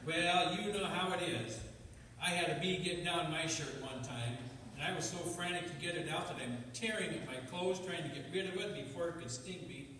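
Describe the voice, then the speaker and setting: Friendly small-town pastor played by a man, folksy and chatty, higher voice, radio play, heard from afar. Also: normal speed, sharp tone. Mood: explaining. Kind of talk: comedic stage radio play acting. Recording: noisy, distant, reverberant hall.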